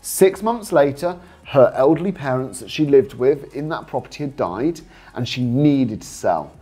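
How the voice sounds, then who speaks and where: A man's voice: medium pitch, animated, normal speed, mellow, breathy edge. A British man presenting as a coach, explaining things informally and persuasively to viewers with frequent rhetorical questions. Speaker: host, property investing coach. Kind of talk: informal instructional talk to viewers.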